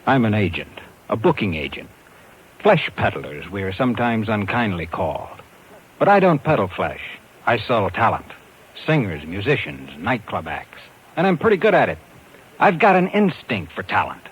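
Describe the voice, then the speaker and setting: A man's voice: on the low side, very animated, normal speed, husky, heavily acted. An actor playing a self-assured American booking agent who confides his regretful tale in a 1950s radio suspense drama. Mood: self-assured, confiding. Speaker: actor in lead role. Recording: dull 1950s radio recording, music behind.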